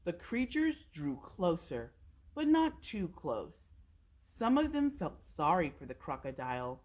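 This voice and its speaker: A woman's voice: on the low side, big pitch swings, a little slow, a little mellow. A woman reading a children's storybook aloud as a storytime narrator. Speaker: storytime reader.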